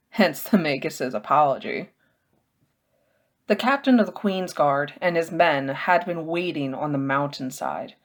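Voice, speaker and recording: A woman reads a story aloud in a low voice. She takes her time, pacing the prose slowly and deliberately, with an expressive storyteller's delivery. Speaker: audiobook reader. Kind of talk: scripted fiction narration, reading a novel aloud.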